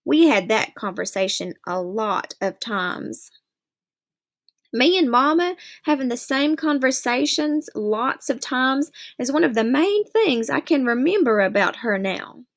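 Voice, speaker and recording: A woman reading a novel aloud, telling the story in a steady first-person voice. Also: high-pitched, measured pace. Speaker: reader.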